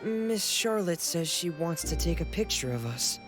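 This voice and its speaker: A woman voicing a shy, gloomy, soft-spoken teenage boy who talks haltingly and reluctantly in an anime-style video game. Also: lowish voice, animated, over music. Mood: shy, hesitant.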